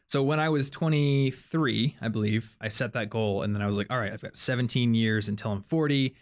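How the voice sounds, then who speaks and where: A young man, hosting a casual podcast chat and explaining ideas with plenty of fillers. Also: lowish voice. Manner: chatty, explains things with lots of "like" and "um". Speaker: podcast host.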